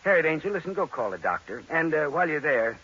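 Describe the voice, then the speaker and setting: An actor playing a tough, sardonic American private detective in 1940s radio crime drama style, with a needling, clipped delivery. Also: male voice, on the high side, big pitch swings, fast, a bit bright, husky, heavily acted. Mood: sardonic, taunting. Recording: muffled vintage radio recording with music.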